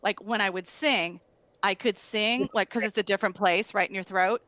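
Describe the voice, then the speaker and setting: A woman's voice: mid-range voice, normal speed, a bit bright, dry recording, low-quality recording. A middle-aged woman, a guest musician, telling stories casually and filling her speech with "like". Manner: storytelling, filler-heavy, lots of "like". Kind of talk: casual conversation.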